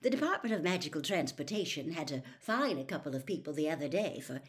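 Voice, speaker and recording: Woman as genial wizard father, storybook reading, matter-of-fact, lowish female pitch, animated intonation. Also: fast. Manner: matter-of-fact, chatty explaining.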